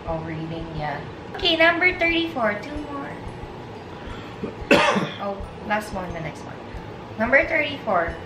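A woman's voice: mid-range voice, very animated, measured pace, long pauses. A young woman in casual, playful chat with her husband on a living room couch, reading out tag questions and laughing often. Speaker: vlogger asking tag questions. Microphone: far camera. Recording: roomy, distant, with noise.

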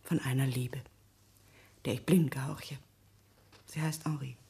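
An actress playing a middle-aged, solemn, blindly loyal wife in a radio drama, husky and often hushed. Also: female voice, rumbling low, fairly even intonation, unhurried, heavily acted. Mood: solemn, devoted. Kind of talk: German radio drama acting.

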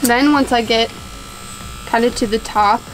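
A woman's voice: on the high side, fairly even intonation, measured pace, music in the background, low-quality recording. A young woman outdoors on a deck, chatting casually as she explains how she cuts hair at home, laughing at herself now and then. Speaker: vlogger cutting her husband's hair.

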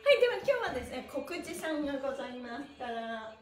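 A middle-aged woman with a high voice that swoops up and down as she leads a livestream class. She is chirpy and encouraging, like an instructor cheering everyone on. The small bare room rings clearly around her. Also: fast talker, echoey room, faint music behind. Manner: animated, chirpy, exclaiming and encouraging, often laughing. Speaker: pantomime and stretching instructor, livestream host. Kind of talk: upbeat livestream exercise class and chat. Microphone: device mic a step or two away.

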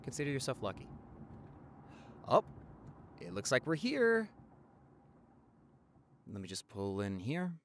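A man's voice: mid-range voice, huge pitch swings, measured pace, long pauses, a bit bright, a little hiss or hum, heavily acted. A man playing an affectionate doctor boyfriend in his forties, talking casually and a little hesitantly in an intimate audio roleplay. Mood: gently excited. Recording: car ride ambience behind the voice.

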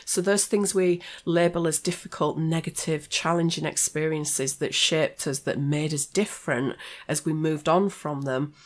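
A woman with a slightly hoarse voice from flu, hosting a radio chat show as a life coach, talking in an earnest, encouraging way. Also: sits low, fairly even intonation, normal speed, hardly any pauses. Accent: British English. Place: radio studio.

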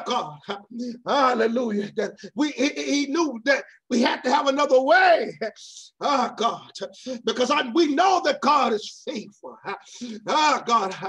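A man preaching an impassioned sermon. He keeps crying out "Oh, God" between phrases and swings between shouts and hushed drops. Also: very high-pitched, breathy edge.

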